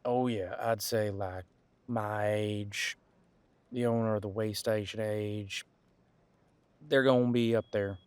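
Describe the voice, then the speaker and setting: A man playing a centuries-old, easygoing detective in an audio roleplay, talking casually and folksily as he muses aloud with pauses. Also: fairly low pitch, fairly even intonation, smooth, no room sound. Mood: explaining. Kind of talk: detective roleplay audio, one side of a conversation.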